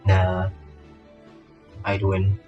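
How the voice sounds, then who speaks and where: An excitable streamer giving squealing live reactions to a game. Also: low-pitched, extremely slow, muffled recording, music in the background.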